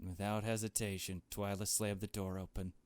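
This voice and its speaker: A man's voice: on the low side, little pitch movement, moderate pace, heavy background noise. A man reading visual novel narration in a dramatic storytelling style. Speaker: visual novel voice-over reader. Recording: music under the voice. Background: game music.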